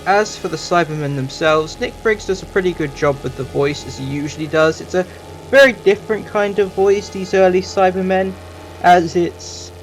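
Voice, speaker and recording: Casting: male reviewer, slightly high voice, informal and thoughtful-paced commentary, soft music bed underneath.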